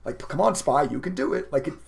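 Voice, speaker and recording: A man with a raspy voice chatting casually to viewers as a livestreaming day trader, emotive and talkative. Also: medium pitch, animated, fast talker. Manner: chatty, emotive, laughs often. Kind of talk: casual live stream commentary to viewers.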